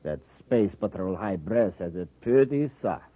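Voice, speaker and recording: An actor playing an obedient, rough henchman thug in a 1950s radio serial. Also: deep voice, expressive, a little slow, no room sound. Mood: wary. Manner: rough, simple, obedient to his boss. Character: henchman thug, deferential but ready for violence. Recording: muffled old 1950s radio recording.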